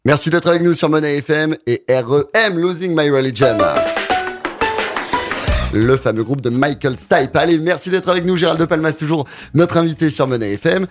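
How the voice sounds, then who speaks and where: A man's voice: fairly high pitch, normal speed, soft background music. A man hosting a radio interview, chatty and rephrasing his questions, with fillers. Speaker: radio host.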